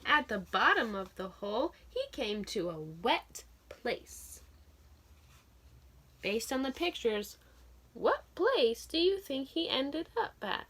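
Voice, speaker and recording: High, slow-paced woman teacher reading aloud. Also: very animated, fairly bright tone. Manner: slow, clear, sounds out letters and asks listeners questions. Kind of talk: children's read-aloud phonics lesson.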